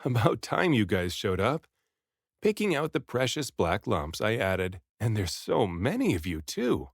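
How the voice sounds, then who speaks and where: Animated man reading a storybook aloud. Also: lowish voice, unhurried, no room sound, studio quality. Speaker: audiobook reader. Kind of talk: expressive first-person storybook narration.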